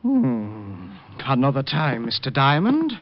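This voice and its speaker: An actor playing a catty, sneering, theatrical suitor in an old radio drama, with arch, mocking, drawling sarcasm. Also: male voice, medium pitch, wildly swooping pitch, slow, heavily acted. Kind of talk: 1940s-50s radio drama acting. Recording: muffled old radio recording.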